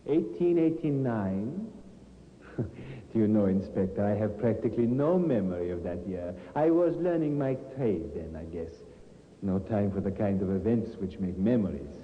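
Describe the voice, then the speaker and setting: A man's voice: lowish voice, expressive, normal speed, natural voice. A guarded male tavern keeper, played by an actor, polite and defensive under questioning. His intonation swings widely in old radio drama style, and the recording is muffled with light hiss. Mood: politely guarded. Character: smooth-talking immigrant male tavern keeper, a guarded murder suspect. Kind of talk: vintage radio drama acting.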